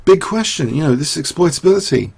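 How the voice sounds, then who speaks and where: Gravelly, husky man analyzing chess. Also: on the high side, big pitch swings, fairly quick, darkish tone. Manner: rambling, thinking aloud with many fillers and rhetorical questions. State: losing his voice. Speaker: chess commentator.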